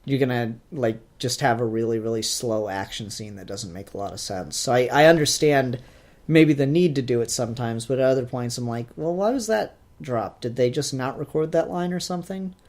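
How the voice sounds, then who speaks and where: A man's voice: mid-range voice, brisk, a little mellow. A man co-hosting a podcast, talking casually and informally as he reviews. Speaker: podcast co-host reviewing fan films. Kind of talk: casual chat, review discussion.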